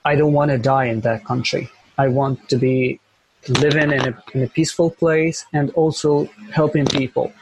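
A man's voice: mid-range voice, fairly even intonation, moderate pace, background noise. A young man from Syria with a Syrian Arabic accent, speaking English as a second language, talking earnestly and repeating phrases as he searches for words. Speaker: interview guest, volunteer teacher. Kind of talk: earnest conversational interview.

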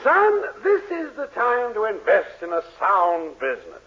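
A man's voice: high-pitched, wildly swooping pitch, unhurried, a bit bright, slightly rough, heavily acted. An actor playing a greedy, blustery male drugstore boss with an exaggerated manner in a 1940s radio sitcom. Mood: wheedling. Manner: exaggerated, gushing then curt. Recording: muffled 1940s radio broadcast recording.

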